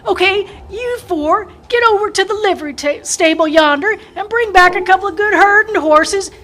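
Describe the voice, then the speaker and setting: A woman's voice: very high voice, moderate pace, fairly bright tone, slight background noise. A woman playing a brassy, flirtatious, bossy saloon madam with folksy frontier speech in an amateur radio western. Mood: bossy, excited. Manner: sassy, folksy frontier grammar. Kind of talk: amateur old-time radio play acting.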